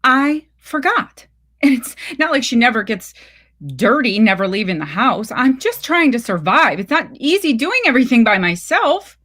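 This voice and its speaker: A woman's voice: on the high side, big pitch swings, normal speed, fairly bright tone, voice pushed up. A woman voicing an anxious, worn-down mother in a story reading, guarded and insistent. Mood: defensive. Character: anxious, worn-down, defensive mother isolated at home.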